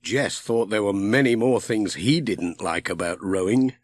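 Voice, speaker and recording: A man narrating a children's audiobook in a measured, expressive storytelling style. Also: lowish voice.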